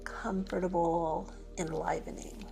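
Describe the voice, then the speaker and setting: Female meditation guide, gentle and very unhurried, creaky low-effort delivery with pauses, faint music underneath. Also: little pitch movement. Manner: gentle, unhurried, pausing between phrases. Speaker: yoga meditation teacher.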